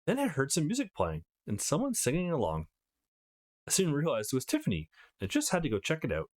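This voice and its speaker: Visual novel role: male reader as feisty young woman, foul-mouthed tease, blunt gossip, very lively intonation. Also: fairly high pitch, quick pace, voice pushed up. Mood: gleeful storytelling. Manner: cheeky, blunt, gossipy storytelling.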